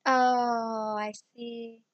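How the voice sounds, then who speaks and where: A woman's voice: on the high side, little pitch movement, very slow, dry recording, lo-fi sound. A teenage girl hosting a chat, often stuttering and restarting, a native Indonesian speaker. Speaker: podcast host. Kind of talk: casual chat.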